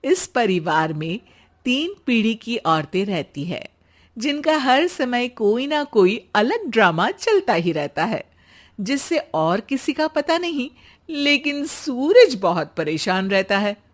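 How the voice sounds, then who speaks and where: A woman's voice: fairly high pitch, sing-song, slow. A woman narrating a scripted cartoon story in Hindi as its storyteller.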